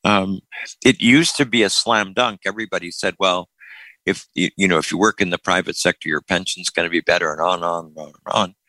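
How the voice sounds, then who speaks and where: A man's voice: deep voice, steady tone, fairly quick, pushed and bright, no room sound, low-quality recording. An older man hosting a casual group talk, often repeating words as he starts a thought. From Florida.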